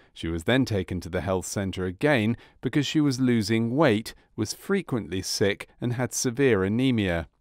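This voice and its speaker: A man reading scripted documentary narration about a medical case in a measured, clinical manner. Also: fairly low pitch, darkish tone, no room sound, studio quality.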